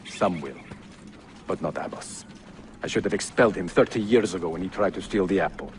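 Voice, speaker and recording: Man acting deep-voiced, embittered old assassin master. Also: slight background noise, lightly acted. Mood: stern, resentful. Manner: grave, formal, measured speech. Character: embittered elderly male assassin master, grieving, proud. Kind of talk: dramatic video game cutscene acting. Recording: loud music over the dialogue.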